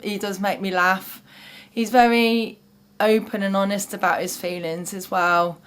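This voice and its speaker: English woman advocate, chatty and warm, plenty of ums. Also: medium pitch, fairly even intonation, unhurried, clear, resonant tone. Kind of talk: interview testimony.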